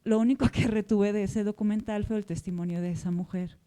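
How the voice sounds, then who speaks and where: Clear-voiced, mellow woman moderating a panel. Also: medium pitch, fairly even intonation, fairly quick. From Portugal. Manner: discursive, often adds tag questions. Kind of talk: reflective spoken question with personal anecdote at a public talk.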